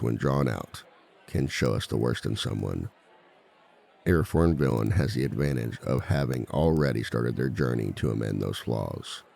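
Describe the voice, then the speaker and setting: A man playing a reformed villain who lectures at a seminar on romance in a measured, articulate, formal and dryly self-assured way. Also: rumbling low, gravelly, a little mellow, heavily acted. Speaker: seminar speaker and relationship expert. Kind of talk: scripted comedic lecture roleplay.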